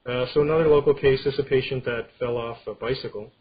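A man giving an informal medical slide lecture, explaining things in a halting way. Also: medium pitch, steady tone. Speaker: radiology lecturer. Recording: dull screen-capture audio with clear background noise.

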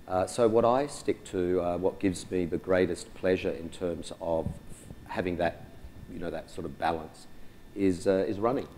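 Deep-voiced middle-aged panelist, unhurried and hesitant, on a conference stage. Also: male voice, a little mellow, slight background noise. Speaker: resort general manager, panelist. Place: large conference hall stage.

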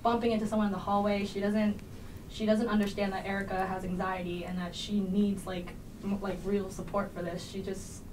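A woman's voice: mid-range voice, little pitch movement, fairly quick. Slightly distant, chatty teenage woman. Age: teens. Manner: informal, heavy use of "like". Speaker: student actor being interviewed. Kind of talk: casual panel discussion. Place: small indoor studio. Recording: slightly distant mic, faint room noise.

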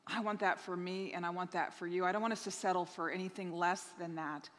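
A woman's voice: on the low side, fairly even intonation, a little fast, fairly bright tone. A woman pastor in her thirties speaking at a lectern in a church sanctuary, earnestly sharing announcements with frequent restarts. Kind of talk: church announcements to online congregation. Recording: reverberant church hall.

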